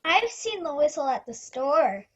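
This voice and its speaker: Slow, high-pitched know-it-all kid acted by a woman. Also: very animated, muffled recording. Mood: matter-of-fact. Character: matter-of-fact, know-it-all young grandchild. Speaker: student radio play performer. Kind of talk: amateur radio play acting.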